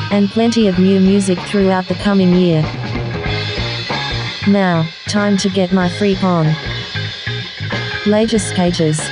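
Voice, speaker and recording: A woman reading a scripted holiday greeting. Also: mid-range voice. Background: prominent guitar music.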